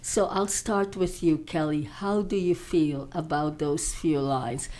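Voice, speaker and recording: Woman host, slow deliberate delivery, warm mellow tone, affirming interjections. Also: low voice, steady tone, a little hiss or hum. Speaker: talk show host. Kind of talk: hosting a panel discussion.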